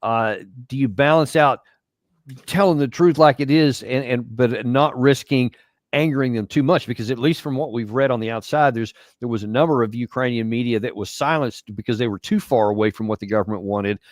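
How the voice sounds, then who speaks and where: A man's voice: medium pitch, fairly quick, dry recording. Man asking rambling questions, dry sound. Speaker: host. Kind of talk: interview questions.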